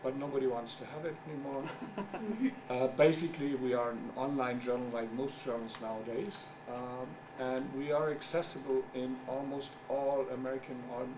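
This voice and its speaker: A middle-aged man whose first language is German gives a conference talk as a journal editor. He speaks English haltingly, searches for words, and makes wry asides. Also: mid-range voice, steady tone. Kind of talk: conference presentation. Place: conference room. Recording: distant mic with background noise.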